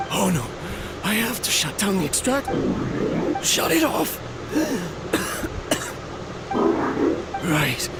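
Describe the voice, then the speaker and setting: A weary lonely researcher. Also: male voice, high voice, full character voice, poor audio. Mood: resigned. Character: weary, lonely male researcher building machines. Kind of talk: game cutscene voice acting.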